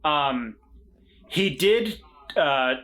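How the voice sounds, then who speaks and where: Bright-voiced excitable player man. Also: a little high, very slow. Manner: excitable, stumbles over his words. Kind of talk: casual chat among players.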